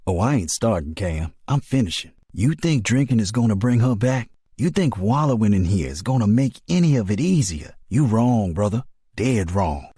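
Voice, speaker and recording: A man's voice: lowish voice, big pitch swings, normal speed, dark tone, breathy edge, dry recording, clean studio sound. A man acting a blunt, loyal navy pilot with folksy phrasing in a film drama. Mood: stern, confronting. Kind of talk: dramatic animatic film dialogue.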